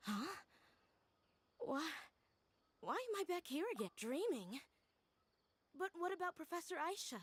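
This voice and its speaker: A dreamy, puzzled young woman speaks slowly in a high voice. The intonation is expressive, in video game style. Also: heavily acted. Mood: bewildered.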